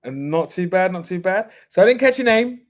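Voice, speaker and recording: A man's voice: high voice, expressive, fairly quick. A man interviewing a guest in casual chat, saying "okay, cool" often and repeating phrases.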